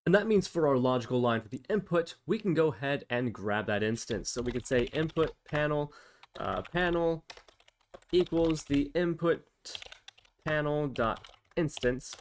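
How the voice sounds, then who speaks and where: A man's voice: on the high side, lively intonation, normal speed, slight background noise. A man hosting a casual screencast programming tutorial, thinking aloud as he explains each step informally.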